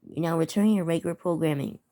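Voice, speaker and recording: A woman narrating amateur scripted emergency news bulletins in a halting way, often stumbling over and repeating words. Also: low-pitched, dark tone. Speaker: narrator of a fictional emergency alert scenario.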